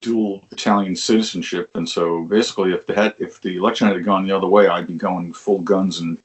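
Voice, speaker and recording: An older man with a fairly low voice tells stories from his past in a loose, rambling way. He restarts sentences often, swears now and then, and chuckles as he goes. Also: steady tone, a little fast. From Long Island, New York. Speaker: musician guest. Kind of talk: conversational interview storytelling.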